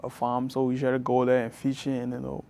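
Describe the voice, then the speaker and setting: Soft-spoken young Liberian man, flat and smooth. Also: medium pitch. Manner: soft-spoken, halting, with many restarts. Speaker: guest, college student. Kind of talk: interview answers.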